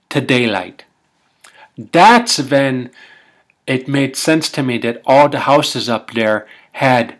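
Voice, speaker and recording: A man playing a folksy, rambling Minnesotan husband with a Scandinavian-tinged Minnesota accent, telling comic tall tales in halting, homespun grammar. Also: medium pitch, a bit bright. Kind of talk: comic tall-tale storytelling.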